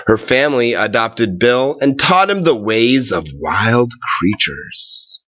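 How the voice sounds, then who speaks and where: A man reads a tall tale aloud as a storyteller, at a slow pace and in a medium-pitched voice. He phrases things deliberately and dramatically. The recording is a little dull. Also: big pitch swings, sharp tone. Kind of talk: expressive storybook narration.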